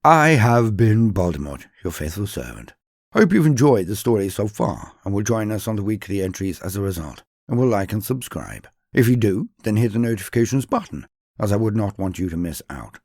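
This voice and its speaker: Man, very low voice, storyteller host, grave, measured, dramatic pauses. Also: lively intonation, darkish tone, dry recording, studio quality. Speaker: narrator and channel host.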